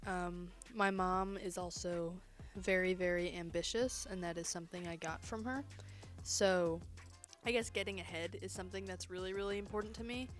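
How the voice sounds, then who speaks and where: A woman's voice: fairly low pitch, fairly even intonation, normal speed, soft background music. A woman giving casual interview answers, hesitating with fillers as she talks.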